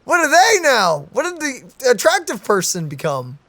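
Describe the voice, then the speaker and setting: A woman casually reacting to a video game as a streamer, expressive and incredulous. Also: high-pitched, normal speed, no echo.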